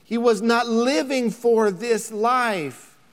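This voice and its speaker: A man in his thirties preaching a sermon as a pastor, building to emphatic near-shouting. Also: very high-pitched, slow, big-room sound. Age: 30s.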